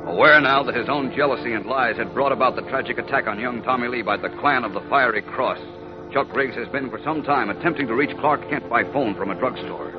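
A male narrator with a medium-pitched voice reads in dramatic 1940s radio style. Loud music plays behind him on a dull old recording. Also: fairly quick.

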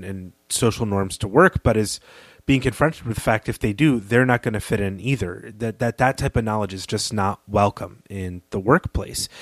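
A man's voice: on the low side, no room sound. A man co-hosting a podcast, talking through literature in an articulate, analytical and emphatic conversational way. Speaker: podcast co-host.

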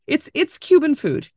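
A woman's voice: high-pitched, dry recording. Woman from Florida, podcast co-host, emphatic and profane, dry close sound on a video call. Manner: animated, emphatic, swears freely. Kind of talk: casual chat over video call.

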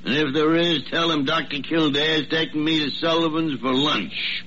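An actor playing a crusty, wisecracking old senior doctor with a sardonic, grumbling manner in vintage radio drama style. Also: male voice, fairly high pitch, fairly even intonation, normal speed, loud music behind the voice, close to an everyday voice. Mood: teasing. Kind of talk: 1940s radio drama acting. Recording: dull old radio recording.

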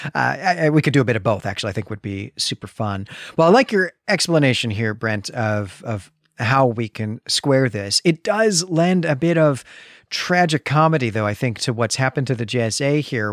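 A man's voice: medium pitch, dry recording. Man hosting a podcast, close dry sound. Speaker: podcast host. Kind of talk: casual comic book discussion.

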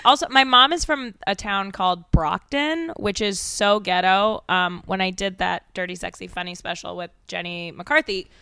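A woman's voice: mid-range voice, normal speed, hardly any pauses, bright, forceful tone, clear, resonant tone, dry recording, studio quality. A young woman comedian, a guest, chatting casually and laughing often, self-deprecating. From Denver, United States. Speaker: stand-up comedian, podcast guest. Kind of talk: casual chat.

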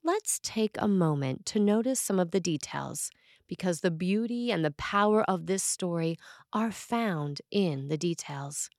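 A woman priest reading a scripted sermon in a measured, earnest way with dramatic emphasis on key phrases. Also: on the low side, lively intonation, no room sound, clean studio sound.

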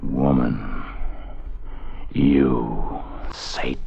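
A man's voice: very deep voice, fairly even intonation, drawn-out words, creaky, warm tone, breathy edge, dull audio, full character voice. An actor playing a bitter, drunken young man in his twenties, slurred and theatrical, in an old-time radio drama. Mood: bitter, menacing. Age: 20s. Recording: noisy old radio recording.

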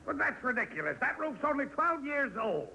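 Sharp, flustered, grudging landlord. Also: male voice, very high voice, very animated, fairly quick, vocal fry, slight background noise, full character voice, rough recording. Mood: protesting. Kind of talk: 1940s radio comedy acting.

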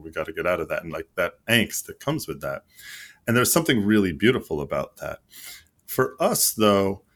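A man's voice: deep voice, a bit bright. A man answering interview questions as a league marketing executive, earnest and explanatory with frequent fillers and restarts. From United States.

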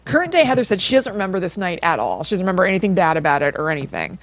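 A woman's voice: on the low side, very animated, very fast, dry recording. A woman co-hosting a podcast, telling a story in a casual, conversational way with lots of informal filler phrasing. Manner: informal, peppers speech with like and kind of. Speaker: podcast co-host.

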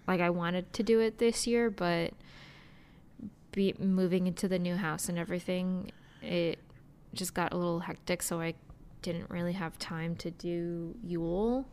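A woman co-hosting a podcast, chatting casually in a relaxed, hesitant way that often trails off. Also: fairly low pitch, measured pace, slight background noise. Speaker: podcast co-host. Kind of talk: casual chat.

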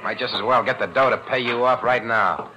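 An actor playing a cocky young crook in a 1940s radio drama, brash and tough-talking. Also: male voice, medium pitch, brisk, highs cut off, background noise, close to an everyday voice. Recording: vintage 1940s radio broadcast.

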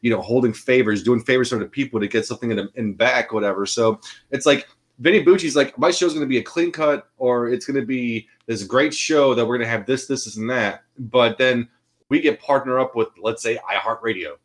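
Podcast co-host, male, mid-pitched, rambling casual chat. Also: a little fast. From Austria. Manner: rambling, full of restarts and fillers.

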